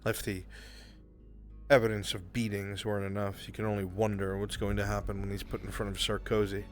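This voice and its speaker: A man working as game master, narrating a live roleplay game in the second person with brooding, deliberate delivery and dramatic pauses, over background music. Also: lowish voice, flat delivery. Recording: music behind the voice.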